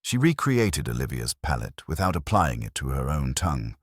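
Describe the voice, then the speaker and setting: A man's voice: rumbling low, sing-song, normal speed, no room sound, studio quality, exaggerated voice. A man voicing a pompous, brooding, exacting genius detective in a storybook reading. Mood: ruminating, grave.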